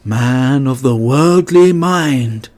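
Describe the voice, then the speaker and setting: A man voicing the mournful, doomed ghost of a dead businessman in a storybook reading, with slow, wailing declamation. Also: mid-range voice, a little mellow. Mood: doomful, wailing.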